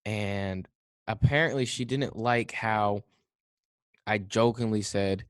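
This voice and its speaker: A young man hosting a podcast, telling stories in a quick, offhand casual chat. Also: lowish voice, clear, resonant tone, no room sound. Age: young adult. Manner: quick storytelling, offhand, mimics others in quotes. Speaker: podcast host.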